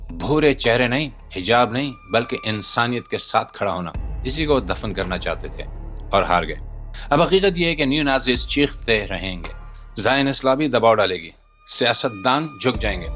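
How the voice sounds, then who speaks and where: A man's voice: medium pitch, bright, forceful tone. A man delivering a scripted political commentary voice-over in a dramatic, rhetorical, emphatic manner. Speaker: video commentator and narrator. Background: music bed.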